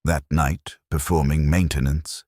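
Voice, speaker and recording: A man reading a scripted first-person war story in a detached, clinical and measured manner. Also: extremely low pitch, steady tone, a little mellow, no room sound, studio quality. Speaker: narrator of a science fiction war story.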